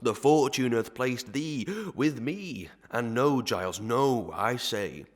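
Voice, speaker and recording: An actor playing a pompous, sly, gluttonous parish clerk who sermonises in archaic proverbs and Latin tags in a melodrama. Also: male voice, mid-range voice, animated, slow pace. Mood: pompous, lecturing. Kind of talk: Victorian melodrama audio acting.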